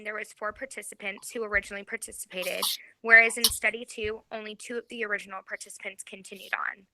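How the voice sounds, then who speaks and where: Young woman, very bright tone, dry close sound, reading a class presentation. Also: mid-range voice, no echo, rough recording. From Georgia, USA. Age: 20s. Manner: reads from a script, careful and even. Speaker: graduate student presenter.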